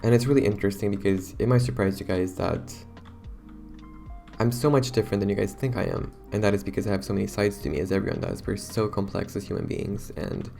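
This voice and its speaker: A young man talks to his viewers in a loose, rambling, self-conscious way, his deep voice rough and hoarse. There's a gravelly fry under his words, and faint music plays behind him. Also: fast, warm tone. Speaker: YouTuber. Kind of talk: casual talk to camera.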